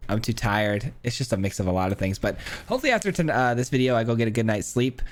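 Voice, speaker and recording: A man with a hoarse, cracking voice gives casual commentary on his own card game, counting aloud and thinking through his plays. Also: medium pitch, animated, quick pace. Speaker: gameplay streamer. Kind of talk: casual live gameplay commentary.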